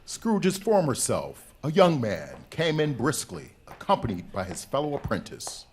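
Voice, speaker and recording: Theatrical male narrator, animated pitch. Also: unhurried, slight background noise. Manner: theatrical storytelling. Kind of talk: scripted radio drama narration. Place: live stage performance. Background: music.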